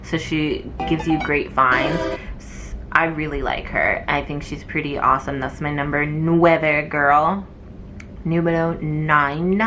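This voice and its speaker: A woman's voice: low-pitched, steady tone, takes it slowly, music in the background, rough recording. A young woman, a nursing student, chatting casually to camera with lots of fillers, her voice wearing thin from talking. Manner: chatty and rambling, many fillers. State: losing her voice.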